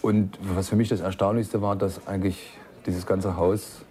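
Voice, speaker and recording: A man's voice: sits low, flat delivery, normal speed, warm tone. A man from East Germany giving a colloquial, detailed eyewitness account.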